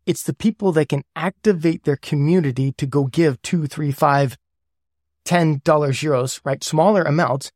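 A man being interviewed as a guest who explains ideas step by step with worked examples. He often checks in with a quick "right?". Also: a little high, a little mellow, dry recording, clean studio sound. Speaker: guest, governance lead.

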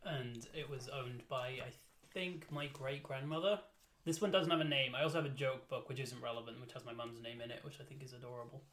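A man's voice: mid-range voice, steady tone, a little fast, a bit bright. A man hosting a casual comedy chat. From Wales. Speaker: podcast host.

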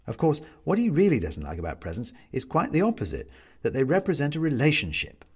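A man narrating a literary story as an audiobook reader, measured and wry, with theatrical, deliberate phrasing. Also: medium pitch, wildly swooping pitch, husky.

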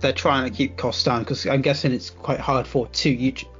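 A man's voice: medium pitch, steady tone, moderate pace, a bit bright, highs cut off, soft background music. A man co-hosting a casual podcast, telling laid-back stories and swearing casually. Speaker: podcast co-host. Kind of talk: casual chat.

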